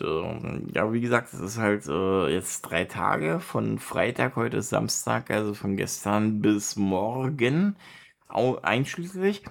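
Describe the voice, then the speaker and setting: A man from Berlin chatting casually in colloquial German, rambling and halting as he searches for words and gives his opinions. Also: fairly low pitch, vocal fry. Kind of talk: casual monologue, personal chat.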